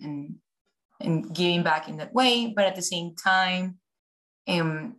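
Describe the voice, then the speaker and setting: Young woman from ecuador on a podcast, lowish voice, talks with lots of restarts. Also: fairly bright tone, low-quality recording. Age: young adult. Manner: thoughtful, restarts and repeats words. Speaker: guest. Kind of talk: podcast interview.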